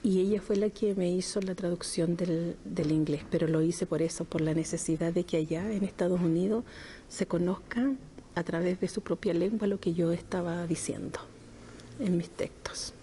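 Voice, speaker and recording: A middle-aged woman from Chile, a poet answering interview questions slowly and thoughtfully, with frequent hesitations. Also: lowish voice, steady tone, warm tone, a little hiss or hum.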